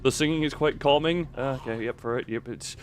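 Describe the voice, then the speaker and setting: A man's voice: medium pitch, brisk, slight background noise. A man doing casual live video game commentary, rambling playfully to his viewers and repeating himself as he exclaims.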